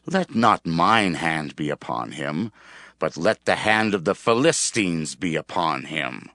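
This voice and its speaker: A man's voice: sits low, lively intonation, measured pace, sharp tone, dry recording, studio quality, heavily acted. A man playing a jealous, scheming king in a dramatized scripture audio, with a grave, commanding, theatrical delivery.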